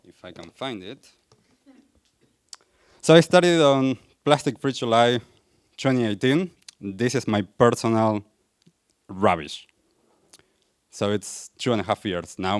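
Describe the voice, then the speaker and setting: A man's voice: medium pitch, clean tone, dry recording, studio quality. A young adult man from Argentina with an Argentine accent, giving an earnest talk to a live audience and asking them questions. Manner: earnest, asks the audience questions, light laughs. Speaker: wellness coach and speaker. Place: event venue in front of a live audience.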